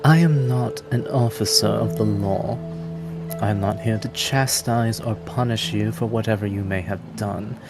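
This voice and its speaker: A man voicing a courteous, formal woman paladin in a tabletop game, measured and insistent. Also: lowish voice, loud music behind the voice, close to an everyday voice. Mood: formal, earnest.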